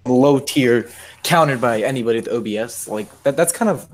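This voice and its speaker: A man's voice: mid-range voice, a little fast. A man in a casual fan chat, talking informally and skeptically. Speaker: voice chat participant.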